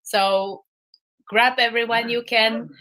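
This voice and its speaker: A woman's voice: on the high side, little pitch movement, slow pace, pushed and bright, low-quality recording. A woman from Poland with a Polish accent, speaking enthusiastically to encourage listeners on a live call. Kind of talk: casual live-stream chat.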